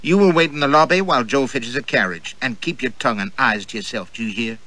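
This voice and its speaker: A man's voice: mid-range voice, quick pace, natural voice, rough recording. Man voicing a curt, stern young woman. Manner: curt, clipped, hushed commands.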